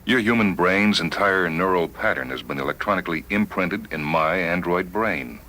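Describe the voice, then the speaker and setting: A man voicing a polite, dutiful android double of a spaceman in a dramatised story, speaking in a formal, measured, deferential way. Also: sits low, pushed and bright. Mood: calm, deferential. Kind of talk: dramatised short-story reading.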